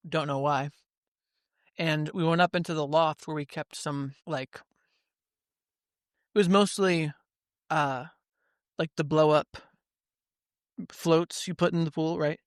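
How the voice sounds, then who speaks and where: A man's voice: on the high side, clear, resonant tone, dry recording, clean studio sound. A man co-hosting a podcast, telling rambling stories in casual chat. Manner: rambling anecdotes with fillers. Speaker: podcast co-host.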